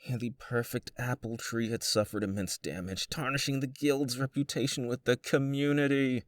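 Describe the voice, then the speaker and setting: A man's voice: medium pitch, steady tone, moderate pace, dry recording, studio quality, lightly acted. A man voicing a stern guild official creature in a comic dub, formal, scolding and exasperated. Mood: stern, disappointed. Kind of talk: animated comic dub acting.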